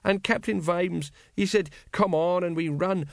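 A man's voice: sits high, a little slow, vocal fry, no room sound, clean studio sound, pitched above the performer's own voice. Man doing a mournful old watch sergeant. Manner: sad, rambling, tells stories. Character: older male city watch sergeant, wistful and mournful.

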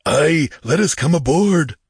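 A man's voice: a little high, animated, a little slow, creaky, a little mellow, breathy edge, dry recording, studio quality, pitched above the performer's own voice, heavily acted. A man playing a weary, superstitious corsair in a fantasy audiobook, desperate, wary and urgent. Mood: desperate, warning. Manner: urgent, clamoring. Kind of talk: dramatic fantasy audiobook character.

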